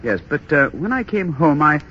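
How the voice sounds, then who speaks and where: A genial, businesslike husband in a 1930s radio drama. His voice is very animated and forceful. The old broadcast sounds dull. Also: on the high side, normal speed, a little hiss or hum. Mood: casual, probing. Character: genial, busy husband, a bank manager.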